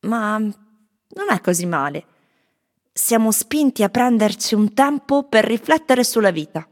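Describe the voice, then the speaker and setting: An actress voicing foreign tourists who candidly give their impressions of Rome in plain statements, as scripted radio theatre. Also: female voice, on the high side, expressive, unhurried. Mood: candid, reflective. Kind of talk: scripted radio theatre monologue of interview answers. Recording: music bed under voice.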